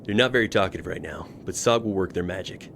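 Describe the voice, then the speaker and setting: A man's voice: low-pitched, fast, fairly bright tone, a little hiss or hum. A man playing a clipped, businesslike military team leader in an audio drama. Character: brisk, no-nonsense male special agent team leader. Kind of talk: sci-fi audio drama acting.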